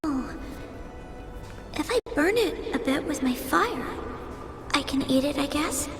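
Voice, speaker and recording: Plucky little girl, childlike, high pitch, slow delivery, video game. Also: lively intonation, exaggerated voice. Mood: hungry, tentative. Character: plucky young girl with fire powers, stoic about wounds. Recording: roomy long reverb under loud music.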